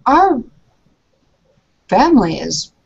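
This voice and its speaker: A woman speaking as her character in a tabletop roleplaying session, earnest and pausing to think mid-sentence. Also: a little high, very animated, vocal fry, breathy edge. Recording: muffled lo-fi recording.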